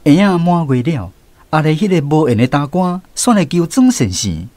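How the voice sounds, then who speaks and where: A man with a Taiwanese accent narrating a radio drama as an expressive storyteller. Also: on the high side, normal speed, soft background music.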